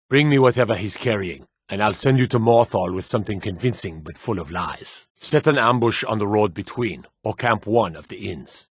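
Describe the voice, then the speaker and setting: A man playing a stern soldier guard in a fantasy war video game, with a gruff, commanding manner. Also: fairly low pitch, no room sound, clean studio sound. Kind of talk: scripted fantasy game dialogue barks.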